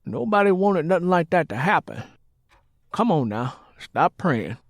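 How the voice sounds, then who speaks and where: Boisterous husband, Southern accent, higher-pitched, hard-drinking, mocking, folksy storybook character. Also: male voice, very animated, measured pace, no room sound, clean studio sound, exaggerated voice. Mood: dismissive. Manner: irreverent, folksy, drawling banter. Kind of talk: audiobook character acting.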